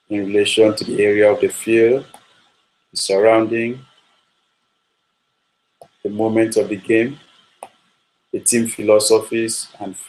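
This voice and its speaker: A man from Nigeria, a football coach giving a measured talk on his coaching philosophy with frequent fillers. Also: fairly low pitch, poor audio.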